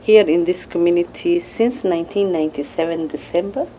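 A woman's voice: lowish voice, fairly quick, warm tone, a little hiss or hum, low-quality recording. A middle-aged woman from Somalia with a Somali accent, introducing herself as a panelist. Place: conference room, indoors.

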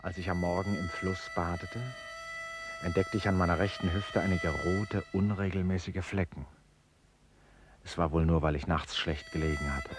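A man's voice: steady tone, moderate pace, music in the background, natural voice. An actor playing an anxious, reflective traveller who recounts his jungle journey in a literary radio play. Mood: uneasy, reflective. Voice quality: very low-pitched.

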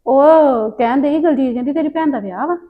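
Skit role: woman playing a preachy know-it-all auntie, higher voice, dull lo-fi sound. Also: fast, lightly acted. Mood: lecturing. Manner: lecturing, stern. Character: meddling elder woman giving unwanted advice.

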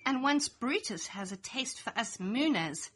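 Female storybook narrator, young adult, high and breathy, slow sing-song read-aloud for kids. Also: expressive, a bit bright. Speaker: storybook reader. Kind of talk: expressive read-aloud children's story in rhyme.

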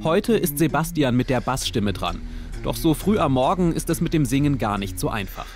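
Calm male documentary narrator over music. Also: mid-range voice, normal speed. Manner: calm, even reporting.

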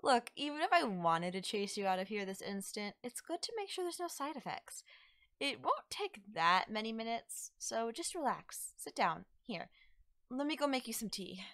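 A woman acts out a playful young forest witch for an ASMR roleplay. Her pitch swings expressively as she teases, coaxes and reassures the listener. The sound is close and dry. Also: very animated, fairly bright tone, dry recording. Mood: coaxing, reassuring. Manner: coy, soothing, conversational with drawn-out words. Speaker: roleplay voice actor.

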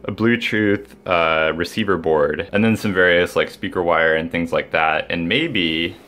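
A man's voice: low-pitched, unhurried, pushed and bright. A man talking casually and enthusiastically to viewers as he hosts a hobby project vlog, recorded indoors at home. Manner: informal, enthusiastic. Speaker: DIY video maker, host. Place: indoors, small home room.

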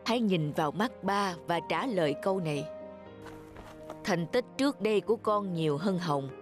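A woman playing a calm, firm middle-aged father and school principal in a cartoon, measured and admonishing. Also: on the low side, lightly acted. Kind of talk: animated cartoon voice acting. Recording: clear music behind the voice.

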